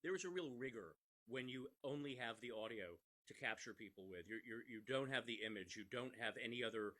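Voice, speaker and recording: A man's voice: medium pitch, brisk, pushed and bright. A man explaining things as an interview guest, repeating phrases for emphasis. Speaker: podcast creator, interview guest. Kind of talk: conversational interview.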